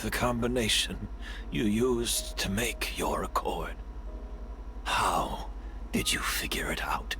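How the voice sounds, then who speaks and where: An actor voicing a weary, fading perfume master in a video game drama, halting and breathy with haunted intensity. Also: male voice, lowish voice, pushed and bright, faint music behind, heavily acted. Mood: wondering, strained.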